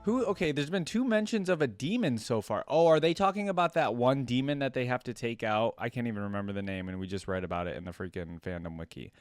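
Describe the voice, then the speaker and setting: A man's voice: mid-range voice, expressive, quick pace, hardly any pauses, dry recording. A man giving casual, off-the-cuff reaction commentary as the host of a video. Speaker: YouTube reactor hosting a video. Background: game voice lines and music.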